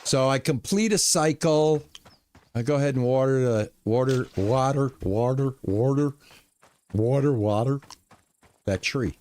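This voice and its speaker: A man streaming a farming game live, chatting to his viewers in a relaxed, lulling way. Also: mid-range voice, measured pace. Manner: relaxed, chatty, talks to chat. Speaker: gaming streamer. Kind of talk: live gameplay commentary.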